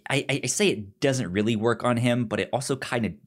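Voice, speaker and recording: A man's voice: mid-range voice, fairly quick. A man co-hosting a film podcast in casual conversation, stammering and often repeating syllables and words as he goes. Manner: acts out characters' lines while retelling.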